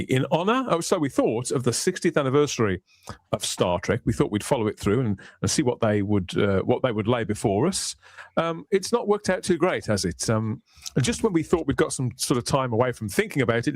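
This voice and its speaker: A man's voice: medium pitch, no echo. A man hosting a casual livestream chat, playful and wordy, rambling through his introductions. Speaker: livestream host.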